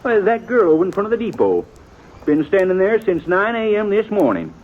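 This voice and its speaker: An actor playing a nosy, gossipy small-town shopkeeper in a 1950s radio western, with a folksy rural American accent. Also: male voice, high voice, sing-song. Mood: curious, gossipy. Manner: folksy, chatty, drawn-out busybody talk. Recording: tinny, band-limited old radio recording.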